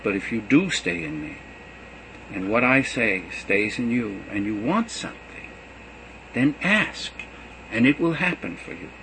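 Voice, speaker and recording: A man reading as Jesus, earnest and steady. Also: medium pitch, measured pace, vocal fry, a little hiss or hum, exaggerated voice, low-quality recording. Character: Jesus, a gentle male teacher farewelling his students. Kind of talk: reading a gospel aloud.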